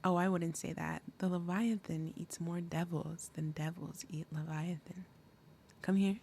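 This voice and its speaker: Low-voiced, menacing demon mother, acted by a woman. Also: steady tone, a little mellow, a little hiss or hum. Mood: taunting, menacing. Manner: falsely sweet, deliberate, pointed pauses, drops to breathy murmurs. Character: big menacing possessive demon mother, sweetly threatening. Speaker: roleplay audio performer. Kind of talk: ASMR roleplay, acted monologue.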